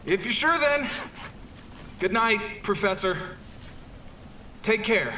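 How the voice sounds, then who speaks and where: A man's voice: sits high, animated, slow pace, sharp tone, slight background noise, heavily acted. A man playing an anxious, deferential assistant to a professor in an audio horror drama, hesitant and earnest. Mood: sheepish, concerned. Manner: hesitant, earnest, repeats himself.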